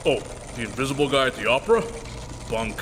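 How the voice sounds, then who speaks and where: Skeptical bystander female actor, radio drama: low-pitched, blunt slang, animated; echoey noisy hall. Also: fast. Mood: dismissive. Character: skeptical, slangy tough-talking stock exchange onlooker. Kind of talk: live radio drama acting. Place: live performance in a church. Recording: echoey hall, background noise.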